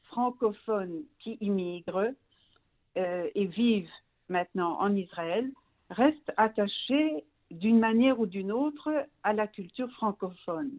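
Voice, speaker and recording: A middle-aged woman from Belgium being interviewed as a guest, searching for words and restarting her phrases. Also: medium pitch. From Brussels, Belgium. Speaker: magazine founder, interview guest. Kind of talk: radio interview by phone. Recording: thin, narrow phone line.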